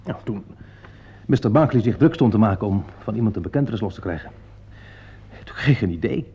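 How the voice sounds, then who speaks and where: An actor playing an earnest, touchy young amateur detective in a radio play, defensive and self-important. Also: male voice, on the low side, expressive, fairly quick, mellow, breathy edge, heavily acted. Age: young adult. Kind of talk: radio play acting in Dutch. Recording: dull lo-fi radio play recording.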